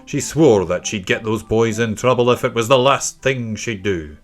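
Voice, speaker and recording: A man's voice: medium pitch, moderate pace. A man narrating a children's story from a script. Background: faint music.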